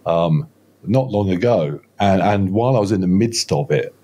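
A man's voice: deep voice, expressive, normal speed, warm tone. A man of about fifty, a podcast guest telling his story in a rambling, self-deprecating way, with many restarts. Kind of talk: casual podcast interview. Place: home studio room, indoors. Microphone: close studio mic with headphones, video call.

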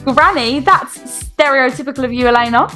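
A woman's voice: high-pitched, fairly quick, sharp tone, soft background music. A young woman with a British accent, chirpy and exclaiming, doing a game roleplay vlog as the mum. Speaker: video creator playing a mum in a game roleplay.

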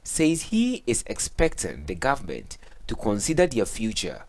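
Lively low-voiced Ghanaian news reporter, male. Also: moderate pace. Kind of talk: scripted news narration.

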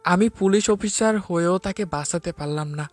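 A man's voice: high voice, moderate pace, dry recording, soft background music, lightly acted. A man playing a stern but protective police officer in a melodramatic audio story. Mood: grieving, vengeful. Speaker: narrator and lead actor.

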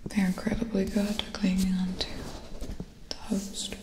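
A young woman speaks in a hushed, slow whisper, playing a tough but caring space officer in an ASMR roleplay. Her delivery stays serious and fairly flat, close to the mic with a noticeable roomy echo. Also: medium pitch. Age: young adult.

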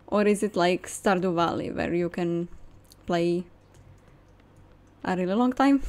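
A woman's voice: on the low side, slow, a little mellow. A young woman musing aloud with delight as she gives casual commentary while playing a game. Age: young adult. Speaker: game streamer. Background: game music.

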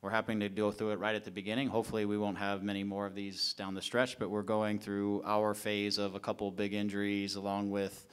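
Low, smooth-voiced man at a press table. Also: monotone. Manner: measured, thinks aloud with fillers and restarts. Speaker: head coach. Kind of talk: post-match press conference answers. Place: press conference room. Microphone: table mic in front of him.

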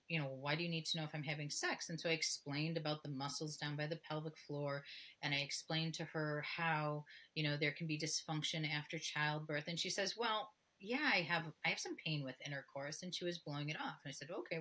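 A woman's voice: sits low, brisk, pushed and bright. A middle-aged woman talking candidly as a guest expert in a podcast interview over a video call, often acting out bits of dialogue. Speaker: guest expert, pelvic floor physical therapist. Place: indoor room, video call.

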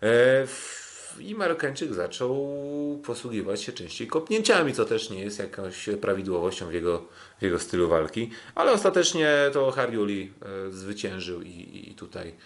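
Low-voiced, halting man recapping fights. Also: sing-song. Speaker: sports podcast host. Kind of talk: podcast commentary recapping kickboxing fights.

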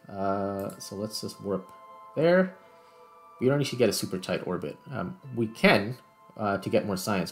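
A man with a fairly low voice talks through a game he is playing. His delivery is casual, as he thinks each step aloud. Faint music plays behind him. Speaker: gaming video host. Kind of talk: casual gameplay commentary.

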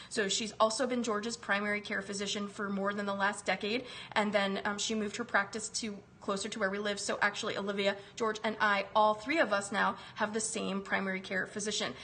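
A woman's voice: mid-range voice, flat delivery, fairly quick, hardly any pauses, fairly bright tone, slight background noise. A woman in her thirties chatting on a live stream from her home kitchen, recovering from a chest virus and greeting viewers by name. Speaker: wellness live-stream host. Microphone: phone camera close up.

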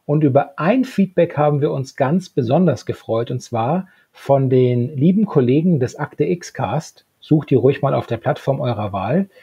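A man in his thirties with a husky voice, talking as a podcast co-host. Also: mid-range voice, lively intonation, normal speed, hardly any pauses, warm tone, lo-fi sound. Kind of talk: podcast talk, partly read summary. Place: small book-lined room at home. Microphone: handheld-style recorder microphone close up, earphones.

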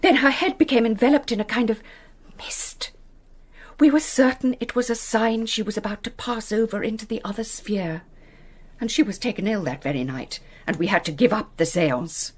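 A woman's voice: on the high side, moderate pace, lightly acted. An actress playing a fussy, gossipy middle-aged English lady's companion in a British radio play, with a fluttery, prim manner. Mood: hushed, eager.

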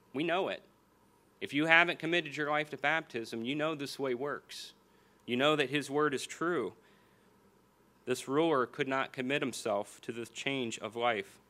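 Earnest American preacher at a lectern. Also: male voice, medium pitch, pushed and bright. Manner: earnest, slows for emphasis, repeats key phrases. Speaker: pastor. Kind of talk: sermon with scripture reading. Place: church hall stage at a lectern. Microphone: small stand mic on the lectern.

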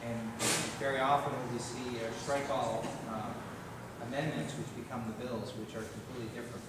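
A man's voice: medium pitch, steady tone, brisk. Matter-of-fact man in an echoey hall. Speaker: state legislator. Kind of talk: explaining at a town hall meeting. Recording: echoey hall, distant mic, noisy.

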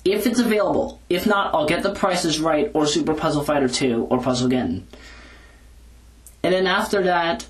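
Hesitant, rambling audio blogger, mid-pitched. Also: brisk, low-quality recording. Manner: informal, hesitant, self-correcting while recalling lists. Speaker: video blogger. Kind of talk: casual rambling audio log. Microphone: handheld audio recorder moved back and forth.

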